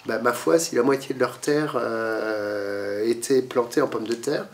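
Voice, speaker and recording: A man's voice: mid-range voice, a little slow, distant mic. A man with a cold giving a talk to a library audience, lecturing with lots of fillers and restarts, coughing now and then. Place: library meeting room.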